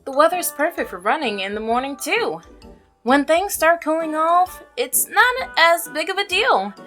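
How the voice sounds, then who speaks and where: A woman's voice: high-pitched, animated, measured pace, fairly bright tone, faint music behind, voice pushed up. A woman reading the lines of a chatty, friendly young male milkman in a visual novel game, in a cheerful, rambling way. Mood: upbeat chatter. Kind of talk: reading game dialogue aloud.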